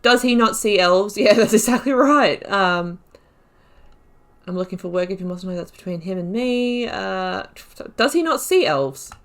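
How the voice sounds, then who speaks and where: A woman's voice: medium pitch, lively intonation, unhurried. A woman giving casual let's play commentary, chattily thinking aloud and reading dialogue choices. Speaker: gaming video host.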